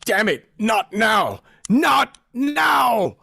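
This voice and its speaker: Husky, wildly animated male squad leader actor. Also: high voice, drawn-out words, exaggerated voice. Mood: frantic. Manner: excitable, indignant, commanding. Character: bossy, insecure male zombie-hunting team leader. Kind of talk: comedic audio play acting.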